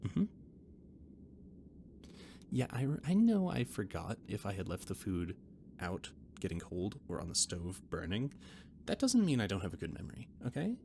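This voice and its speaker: Man as a gravelly, hesitant catboy mechanic, noisy recording. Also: on the low side. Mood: teasingly defensive. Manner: hesitant, stammering, rambling, gently defensive. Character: shy, rambling male alien catboy ship mechanic and cook. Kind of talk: intimate roleplay audio drama, conversational.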